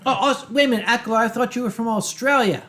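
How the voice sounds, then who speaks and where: A man's voice: extremely high pitch, rapid-fire, pushed and bright. A man from the United States hosting a livestream with boozy casual chat and storytelling in a theatrical, bantering way. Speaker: livestream host.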